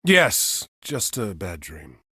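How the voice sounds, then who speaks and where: A man playing a weary, troubled hero in a video game, with a husky, breathy voice. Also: lowish voice, sing-song, slow, pushed and bright, no echo, studio quality, full character voice. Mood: troubled, dazed. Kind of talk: scripted game cutscene dialogue.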